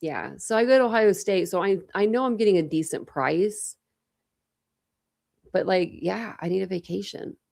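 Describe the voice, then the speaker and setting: A woman's voice: mid-range voice, moderate pace, creaky, darkish tone. A young woman with a slight lisp, chatting casually with viewers during a livestream and replying to their comments. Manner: chatty, rambling, answers chat comments. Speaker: true crime livestream host.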